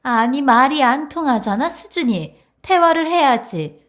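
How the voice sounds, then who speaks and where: Woman acting a high-pitched, brazen older mother-in-law. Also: animated, unhurried, a bit bright. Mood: dismissive. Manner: scornful, argumentative. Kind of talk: dramatized story acting.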